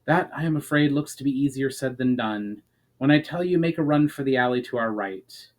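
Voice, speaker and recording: A man voicing a tall, composed male mage from a fantasy story, speaking in a measured, formal way. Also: medium pitch, lightly acted. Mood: urgent. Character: tall calm male mage, urgent peacemaker.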